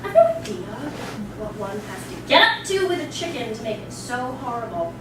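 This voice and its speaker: An actress playing a haughty, bitter, imperious mistress of the house in a dramatic stage reading, demanding and scornful with theatrical emphasis. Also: female voice, fairly high pitch, very animated, normal speed. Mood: scornful, withering. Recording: echoey hall from far off, noisy.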